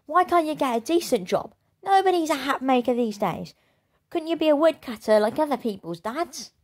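A woman playing a brash storybook bully girl who mocks everyone around her. Her voice rises high and loud, full of sneering scorn. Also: animated, moderate pace, gravelly, heavily acted. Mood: scornful.

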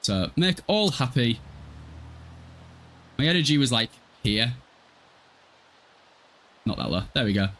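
A man's voice: mid-range voice, very animated, slow pace, pauses often, fairly bright tone, slight background noise. A young man giving casual, irreverent, sweary commentary while livestreaming a game and chatting with viewers. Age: young adult. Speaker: livestreamer playing a life-simulation game. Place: small room at home, indoors.

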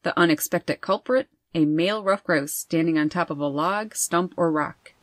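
A woman host reading scripted nature narration over wildlife footage in a measured, informative way. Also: lowish voice. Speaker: birding channel host.

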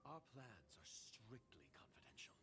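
Wary, secretive agent, dramatic swings. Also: male voice, on the low side, sing-song, moderate pace, whispered. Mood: suspicious. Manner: guarded, formal, suspicious. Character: wary, secretive masked male agent of a shady organization. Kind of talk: video game voice acting.

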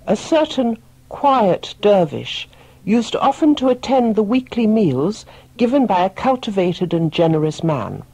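A narrator with a soft, husky voice tells a story in scripted audiobook style. The delivery is deliberate, with dramatic pauses. The sound is dry, with little room around it. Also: medium pitch, dry recording, rough recording.

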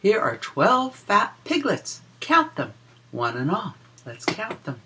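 A woman reading a picture book aloud to young children in a sing-song, deliberate way, explaining and counting as she goes. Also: medium pitch, huge pitch swings, noisy background. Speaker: children's story reader and teacher.

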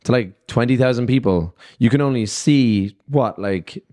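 A man's voice: medium pitch, moderate pace. A young man, a musician guest, talking casually in an interview into a handheld mic in a festival backstage lounge, loose and rambling with lots of fillers. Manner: relaxed, rambling, many "like" and "you know" fillers.

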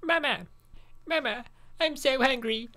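A hungry little star creature played by a woman in a comic dub. The voice is high and slow, with eager, childlike, drawn-out pleas. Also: bright, forceful tone. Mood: eager, pleading.

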